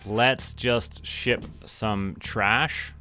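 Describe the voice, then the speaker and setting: Man, slightly unhurried, bright crisp-edged tone, casual game stream chatter, talking to self and viewers. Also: fairly low pitch, fairly even intonation. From Canada. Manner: talks to himself and viewers while playing. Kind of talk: casual game livestream chat.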